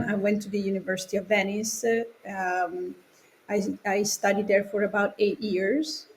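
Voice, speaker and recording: A woman in her forties from Italy speaks English with an Italian accent at a medium pitch. She answers interview questions conversationally, pausing and feeling for her words. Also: slight background noise, poor audio. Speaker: podcast guest, children's author.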